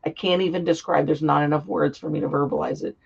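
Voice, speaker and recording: Hoarse gravelly woman, earnest. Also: low voice, fairly even intonation, quick pace. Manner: earnest, heartfelt, flowing explanations. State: slightly hoarse from a cold. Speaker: guest psychic. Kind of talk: interview conversation. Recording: dull lo-fi sound.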